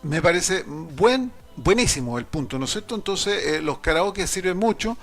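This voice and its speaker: Gravelly Chilean man host, faint music. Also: high-pitched, lively intonation, moderate pace, a bit bright. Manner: chatty, rambling questions with tag phrases. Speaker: show host. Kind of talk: interview questions, casual. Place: broadcast studio.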